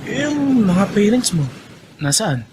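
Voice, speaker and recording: Lively high-voiced kindly gentleman. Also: unhurried. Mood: kindly, insistent. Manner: friendly, reassuring, mixes in English. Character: kindly well-off young plantation gentleman, easygoing and protective. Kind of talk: radio drama acting. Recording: noisy radio drama track with ambience.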